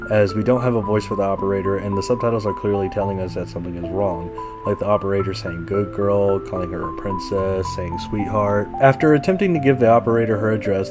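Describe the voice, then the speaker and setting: Clear music runs behind a man with a fairly low voice. He delivers a scripted story recap as a podcast host, dropping in casual, wry commentary along the way. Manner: informal, wry asides.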